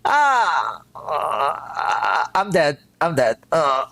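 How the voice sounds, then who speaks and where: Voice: medium pitch, big pitch swings, very slow, vocal fry, pushed and bright. A game streamer giving casual, playful live commentary, reacting dramatically and muttering along. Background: game music.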